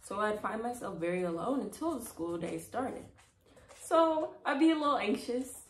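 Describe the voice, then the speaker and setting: A woman's voice: on the high side, animated, moderate pace, slight background noise. A young adult woman reading a children's storybook aloud with casual asides. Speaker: storybook reader, host. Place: small indoor room. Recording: echoey room.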